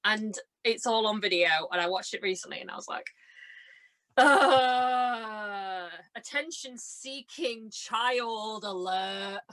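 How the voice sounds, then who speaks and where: A young woman chatting casually as a guest, telling stories in an animated, giggly and self-deprecating way, acting out bits of dialogue. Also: mid-range voice, takes it slowly. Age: young adult. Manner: chatty, animated storyteller who acts out reported dialogue. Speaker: podcast guest, stage and radio drama performer. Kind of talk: casual chat, telling anecdotes.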